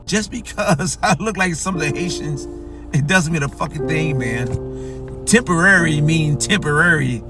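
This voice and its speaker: American guy ranting defiant and emphatic, fairly high voice, mic far off, music playing. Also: normal speed. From United States. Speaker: social media commentator. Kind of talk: casual ranting monologue.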